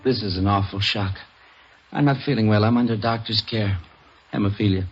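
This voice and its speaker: An actor playing a shaken, sickly husband in an old radio drama, halting and plaintive with a creaky voice. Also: male voice, on the low side, soft background music. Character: shaken, sickly, fretful husband of a murdered woman. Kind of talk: 1950s radio drama acting. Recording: dull, faintly noisy old radio recording.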